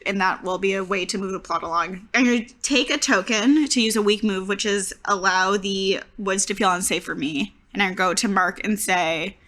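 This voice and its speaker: A woman's voice: mid-range voice, normal speed, hardly any pauses, a bit bright. A woman casually discussing story ideas and rules as a player in a tabletop roleplaying game.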